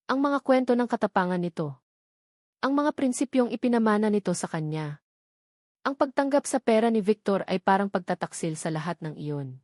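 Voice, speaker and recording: A woman narrates a scripted love story in a dramatic storytelling style. Her voice sits at a middle pitch. The sound is very dry, with no audible room. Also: animated, moderate pace, darkish tone, no echo.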